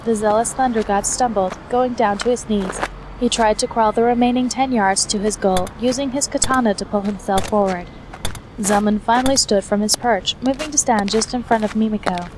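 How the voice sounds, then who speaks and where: A woman's voice: fairly high pitch, moderate pace. A woman reading a scripted story aloud as narrator. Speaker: fan fiction reader, narrator. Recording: noisy hobby recording, steady background noise.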